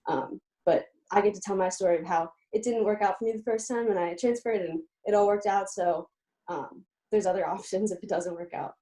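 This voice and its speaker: A woman's voice: mid-range voice, a little fast, dark tone, rough recording. A young woman from Pennsylvania, a college student-athlete and guest on an interview show, talking eagerly and chattily with frequent "um" fillers. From Lebanon, Pennsylvania, USA. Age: young adult. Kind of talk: casual interview.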